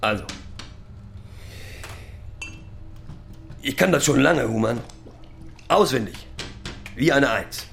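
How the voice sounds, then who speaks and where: An actor playing a rambling, proudly sentimental middle-aged pub drinker who lectures and recites poetry in a halting, self-correcting way. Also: male voice, medium pitch, lively intonation, vocal fry, a bit bright, faint music behind. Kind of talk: theatrical monologue with halting recitation.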